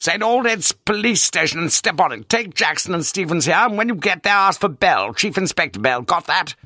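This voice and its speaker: A man's voice: sits high, animated, measured pace, sharp tone, dry recording, studio quality, voice pushed up, heavily acted. A man doing a scathing, furious prison governor. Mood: commanding. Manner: scathing, commanding, barking orders.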